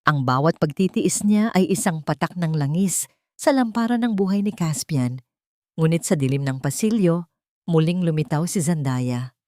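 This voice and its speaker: Female narrator, slightly low pitch, dramatic scripted storytelling, dry studio-like sound. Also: animated, normal speed, warm tone, no echo.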